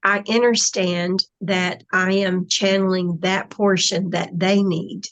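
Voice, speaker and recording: Slow, reflective woman talking. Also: medium pitch, little pitch movement, highs cut off. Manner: slow, reflective, trailing phrases. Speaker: spiritual channeler. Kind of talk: casual conversation.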